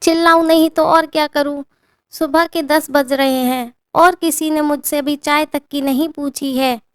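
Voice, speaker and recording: A woman's voice: high voice, fairly even intonation, unhurried, no room sound, clean studio sound, pitched above the performer's own voice. Padma, a woman doing a spoiled young bride. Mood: shrill demanding. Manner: demanding, whiny, entitled. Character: spoiled young bride acting like a haughty queen.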